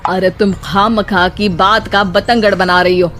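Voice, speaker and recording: A woman's voice: mid-range voice, fairly even intonation, a little slow, fairly bright tone, close to an everyday voice. A woman voicing an easygoing, dismissive husband in casual dialogue for a cartoon moral story. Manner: breezy, colloquial, brushing off complaints. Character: easygoing, dismissive married adult son. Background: background music.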